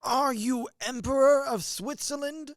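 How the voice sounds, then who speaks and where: A man's voice: very high-pitched, measured pace, no echo, clean studio sound. A man playing a blustering, easily impressed middle-aged major and father in a radio comedy, exclaiming as he goes. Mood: awed delight. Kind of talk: stage-comedy radio play acting.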